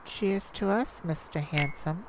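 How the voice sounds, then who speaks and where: A woman playing a cocky, flirtatious master thief in an ASMR romance roleplay, with teasing, drawn-out phrasing and dramatic pauses. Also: on the low side, noisy background.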